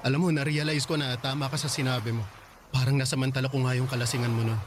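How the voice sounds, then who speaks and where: A man's voice: medium pitch, level tone, fast talker, over music, natural voice. A man acting a kind young man in a radio drama, careful and apologetic. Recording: audible background hiss.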